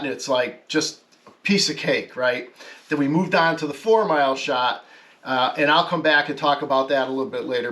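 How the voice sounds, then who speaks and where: Guy with a kinda higher voice explaining his ham radio project, casual video talk, halting and correcting himself on techy stuff. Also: a bit bright. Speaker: amateur radio hobbyist presenting his project.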